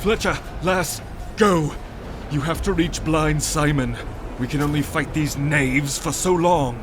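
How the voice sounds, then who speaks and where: A hearty ship's captain played by a man in an audio adventure, calling out commands in a high, unhurried voice. Clear noisy ambience surrounds him. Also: lightly acted. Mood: urgent. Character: rallying, loyal ship's captain in battle. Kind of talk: cinematic audio roleplay acting.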